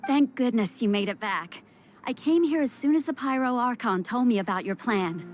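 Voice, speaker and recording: Actress as female doctor, fairly high voice, kindly, reassuring, game dialogue, guitar music behind. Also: lively intonation, moderate pace, darkish tone, close to an everyday voice. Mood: attentive. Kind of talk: animated video game voice acting.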